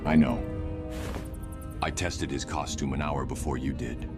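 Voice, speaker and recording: A man playing a brooding, stern vigilante mentor in a superhero comic dub, with terse, grave, gravelly delivery. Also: very deep voice, steady tone, unhurried, over music. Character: brooding, stern male vigilante, stubborn guilt-ridden mentor. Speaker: voice actor in a fan comic dub.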